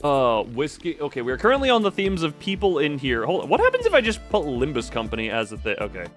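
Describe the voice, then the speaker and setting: A man giving casual live commentary on a game as he streams, thinking out loud and often breaking off mid-sentence. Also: a little high, huge pitch swings, fast, faint music behind.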